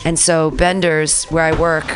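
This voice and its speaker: Low-voiced chatty radio host woman. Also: measured pace, noisy background. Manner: chatty, rambling, animated retellings. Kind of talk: casual radio chat. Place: community radio studio. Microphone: studio mic.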